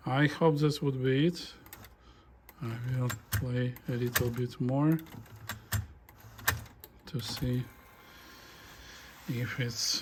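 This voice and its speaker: A man giving a casual hands-on demonstration, murmuring halting step-by-step remarks as he works, recorded on a phone. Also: mid-range voice, a little hiss or hum. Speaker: hobbyist demonstrating a tape deck repair. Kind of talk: casual hands-on repair demonstration, talking while working. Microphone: phone held in front of him.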